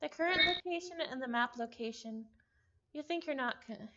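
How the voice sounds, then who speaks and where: A woman's voice: sits high, lively intonation, moderate pace, pushed and bright, highs cut off. A woman reading a visual novel aloud in a playful, theatrical manner, breaking off into chatty asides. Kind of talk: visual novel read-along with casual reactions.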